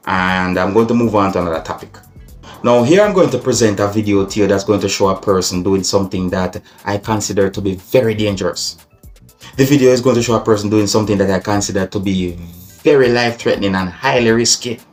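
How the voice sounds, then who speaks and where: Low-pitched Jamaican man vlogging over music. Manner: deliberate, repetitive, formal phrasing mixed with patois. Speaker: video blogger. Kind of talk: vlog commentary addressed to viewers.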